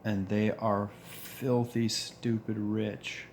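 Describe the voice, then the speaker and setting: A man in his forties with a deep voice, talking earnestly in a reflective monologue, pausing and restarting as he goes. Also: little pitch movement, a little mellow, slight background noise. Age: 40s. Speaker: vlogger.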